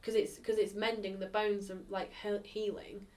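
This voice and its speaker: A woman's voice: mid-range voice, level tone, normal speed, distant mic. A woman answers interview questions in a rambling, candid way, with "like" in almost every phrase. The mic sits some way off from her. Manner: rambling, filled with "like".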